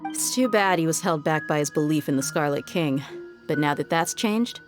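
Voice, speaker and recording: An actress as a low-voiced, blunt mercenary woman. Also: moderate pace, close to an everyday voice. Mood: calm, supportive. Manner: blunt, matter-of-fact. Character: blunt, level-headed female desert mercenary. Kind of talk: video game dialogue acting. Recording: prominent background music under the voice.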